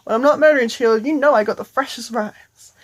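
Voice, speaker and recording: A woman's voice: a little high, quick pace, lightly acted. Woman playing a slangy, laid-back killer clown. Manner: slangy, casual.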